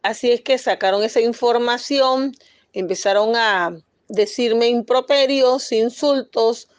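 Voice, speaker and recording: A woman's voice: on the high side, a little slow, no room sound, muffled recording. A woman from Panama, speaking as an activist in a firm, insistent press statement with occasional hesitations.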